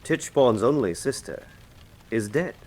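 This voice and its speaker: A man's voice: medium pitch, expressive, measured pace, darkish tone. An actor playing a grave, shrewd churchman in a radio mystery, speaking in a solemn, formal, emphatic manner. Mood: somber. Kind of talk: full-cast radio mystery drama acting.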